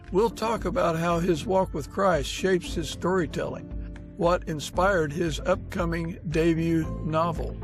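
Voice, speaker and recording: A man hosting an interview podcast, giving introductions and putting questions to his guest. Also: a little high.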